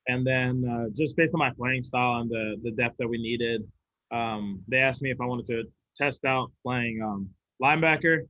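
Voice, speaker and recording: A man's voice: medium pitch, normal speed, pushed and bright, highs cut off. A young man from Sweden, a college football player, answering press questions in an easygoing way with frequent laughs and fillers. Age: young adult.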